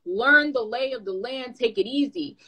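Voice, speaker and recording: A woman who is a content creator and brand manager, giving advice in a lively, chatty way with playful asides. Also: fairly high pitch, normal speed, rough recording. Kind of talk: casual advice talk.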